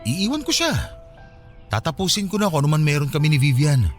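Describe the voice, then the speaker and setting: An actor with a Filipino accent playing a remorseful, earnest husband pleading in a radio drama. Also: male voice, a little high, very animated, brisk. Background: music.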